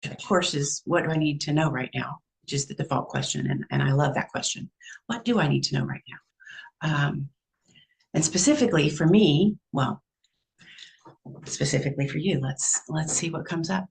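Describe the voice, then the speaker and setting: Texan woman host, gravelly, chatty, casual livestream from home. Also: low-pitched, moderate pace, long pauses, a little mellow. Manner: chatty, self-narrating, laughs easily. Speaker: psychic medium and show host.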